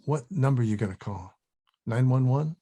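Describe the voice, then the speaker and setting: A male actor plays a defensive, profane older man in a comedy read. The voice is husky and very animated in pitch, grumbling and blunt in a natural way. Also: moderate pace, lightly acted. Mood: uneasy. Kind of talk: table read of a comedy script.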